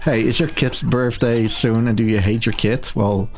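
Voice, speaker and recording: A man's voice: mid-range voice, fairly even intonation, moderate pace. A man giving casual, joking commentary as he streams a video game. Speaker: streamer, speedrunner.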